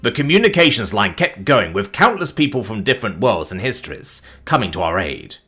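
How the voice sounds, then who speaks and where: Deep-voiced man dramatically narrating a story over music. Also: very animated, fairly quick, a bit bright, slightly rough. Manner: solemn, theatrical delivery with emphatic swells and falling phrase ends.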